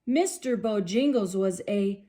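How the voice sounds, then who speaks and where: A woman reading a storybook aloud to young children, expressive and sing-song, speaking directly to her listeners. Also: mid-range voice, measured pace.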